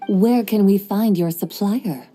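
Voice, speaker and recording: A woman voicing a calm, formal female traveler in a video game, speaking in a measured and precise way. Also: mid-range voice, faint music behind, natural voice. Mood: composed.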